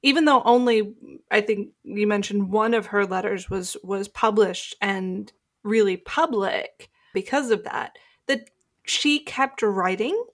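Woman, mid-pitched reflective co-host. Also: fairly bright tone. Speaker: podcast co-host. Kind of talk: reflective conversational discussion.

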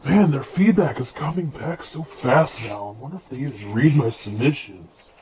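A man's voice: mid-range voice, animated, normal speed, a crowd in the background, close to an everyday voice. A man playing an overly eager, irreverent worship leader in a comedic radio sketch, reading letters aloud with exasperated asides. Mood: eager, deflated. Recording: clearly noisy background ambience.

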